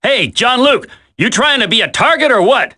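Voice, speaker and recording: Cocky grumbly game commando, brash, punchy bright voice, super animated. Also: male voice, very high voice, moderate pace, heavily acted. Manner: brash, colloquial. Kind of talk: dramatic video game voice acting.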